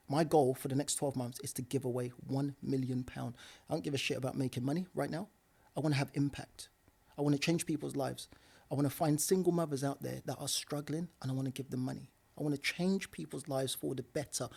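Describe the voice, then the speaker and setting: A man's voice: medium pitch, steady tone, brisk, gravelly, darkish tone, breathy edge. A young man with slangy speech, a property investor talking animatedly on a podcast, repeating himself and laughing often. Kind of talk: casual podcast conversation.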